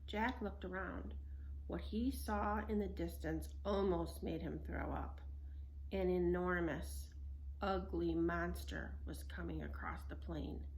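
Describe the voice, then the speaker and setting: A woman narrating a children's story in an expressive read-aloud style. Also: on the low side, a little hiss or hum, low-quality recording. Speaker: storybook reader.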